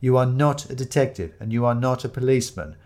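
A man's voice: medium pitch, fast, a little mellow, pitched above the performer's own voice, lightly acted. Man acting a fussy, awkward detective's sidekick. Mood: exasperated. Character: awkward, exasperated male sidekick of an amateur detective.

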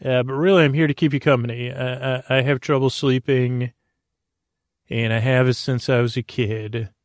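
A man with a creaky voice hosting a bedtime podcast, delivering a halting, meandering, goofy comic monologue. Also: medium pitch, fairly even intonation, dry recording, clean studio sound. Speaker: podcast host.

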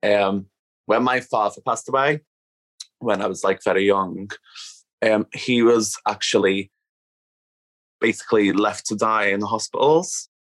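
A woman's voice: extremely low pitch, steady tone, measured pace, long pauses. A woman from Liverpool with a thick Scouse accent, talking candidly and casually as an interview guest. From Liverpool, England. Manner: candid, peppers speech with "like". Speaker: podcast guest, activist. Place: at home.

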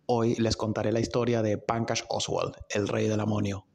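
A man's voice: fairly low pitch, little pitch movement, moderate pace, gravelly, a little mellow. A man hosting a podcast, telling a story in an informal, conversational way with sardonic asides. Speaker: podcast host. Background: music.

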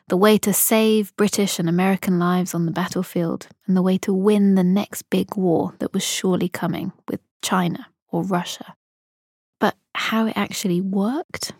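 A woman hosting an investigative podcast in measured, scripted narration. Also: medium pitch, darkish tone, dry recording, studio quality. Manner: measured, storytelling.

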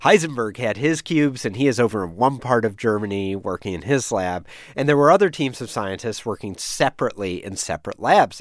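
A man's voice: mid-range voice, no room sound. A man explaining things informally as a science correspondent, telling a story in a conversational podcast style.

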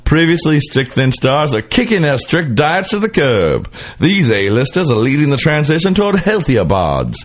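A man's voice: a little high, sing-song, moderate pace, hardly any pauses. A man hosting a casual comic gossip podcast in a sassy, catty, campy way. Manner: sassy, catty, campy, acts out imagined quotes. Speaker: podcast host. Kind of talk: casual celebrity gossip chat with comic banter.

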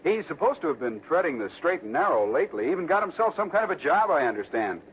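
A man's voice: on the high side, big pitch swings, fast talker. Hesitant American insurance man, muffled recording. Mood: hesitant, hedging. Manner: hesitant, hedging, colloquial. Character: hesitant, fair-minded, chatty male insurance salesman. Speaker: supporting actor in radio detective drama. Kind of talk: early 1960s American radio drama acting. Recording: muffled, noisy vintage radio recording.

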